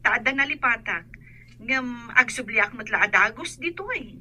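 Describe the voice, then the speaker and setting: An actress playing an unhurried woman friend on a call in an Ilocano radio drama. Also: a little high, pushed and bright. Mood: casual, agreeable.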